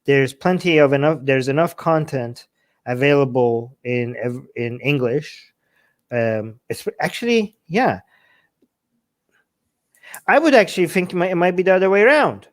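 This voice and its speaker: A man co-hosting a casual question-and-answer chat, with a slightly high voice. He talks bluntly and with animation, full of fillers and restarts. He pushes his points hard and opinionated. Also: unhurried. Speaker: co-host answering viewer questions.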